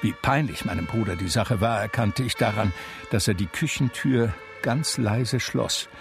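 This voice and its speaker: An actor playing a reflective brother narrating a radio drama in a dry, measured, literary way. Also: male voice, on the low side, gravelly, music in the background. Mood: wry, reflective. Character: wry, reflective brother recalling his eccentric household.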